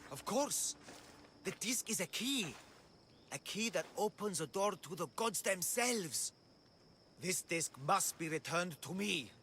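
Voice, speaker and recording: An actor playing a grandiose, deluded self-proclaimed god in a video game, with a pompous, theatrical, declamatory delivery. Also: male voice, high-pitched, lively intonation, takes it slowly, sharp tone, slight background noise, exaggerated voice. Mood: self-important. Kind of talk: video game cutscene acting. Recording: music playing behind the voice.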